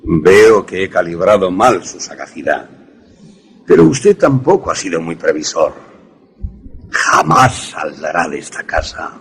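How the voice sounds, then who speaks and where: An actor playing a vengeful, coldly polite mad professor in a radio suspense drama, speaking formally. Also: male voice, sits low, very animated, slightly rough, full character voice. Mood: quiet menace. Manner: formal, measured, sardonic.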